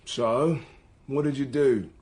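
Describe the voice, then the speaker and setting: A man's voice: medium pitch, slow, darkish tone, lightly acted. An actor playing a sceptical male police detective in a radio drama, probing with wry, pointed questions. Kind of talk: naturalistic radio drama dialogue.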